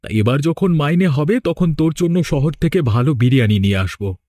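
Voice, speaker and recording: A simple, fretful villager in a horror cartoon played by a man. The voice is fairly high and dry, plain-spoken with a scolding edge. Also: expressive, a little fast, dark tone, dry recording, natural voice. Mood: worried.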